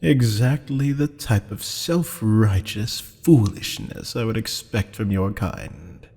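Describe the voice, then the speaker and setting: A man's voice: lowish voice, slow pace, darkish tone, breathy edge, full character voice. A man playing a teasing, flirtatious, menacing demon captor in an ASMR roleplay, purring pet names and slipping into half-whispers. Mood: scornful. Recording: long hall-like reverb.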